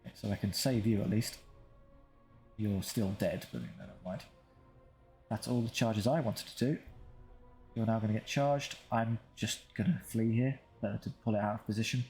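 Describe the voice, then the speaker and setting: A man's voice: on the low side, moderate pace, a little mellow, faint music behind. A man giving casual, understated commentary on his strategy game playthrough, thinking aloud and dryly talking to his units. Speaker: gaming video creator commentating his own playthrough.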